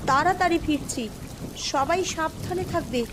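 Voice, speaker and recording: A woman's voice: extremely high pitch, normal speed, noisy background. A woman playing a devoted, brave mother in a cartoon story, speaking in an earnest, soothing manner. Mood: urgent. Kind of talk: Bengali cartoon voice acting.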